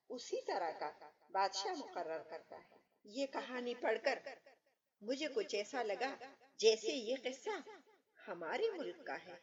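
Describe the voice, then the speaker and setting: A woman's voice: a little high, far from the mic, muffled recording. A woman narrating a scripted moral story as a storyteller and channel host.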